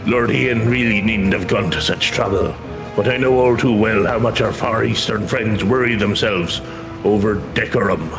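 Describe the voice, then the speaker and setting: Guy doing a hearty generous frontier boss from a game, low voice, kinda declamatory, music behind. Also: moderate pace, gravelly, low-quality recording. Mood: warm, resolute. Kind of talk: reading game dialogue aloud.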